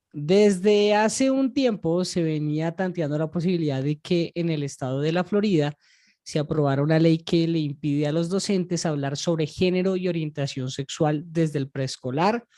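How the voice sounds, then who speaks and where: A man co-hosts a conversational podcast. He explains things at an unhurried pace, stretching out his sentences and pausing with filler sounds. His delivery stays steady and thoughtful. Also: on the high side. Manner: measured, drawn-out explaining with many fillers. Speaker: podcast co-host.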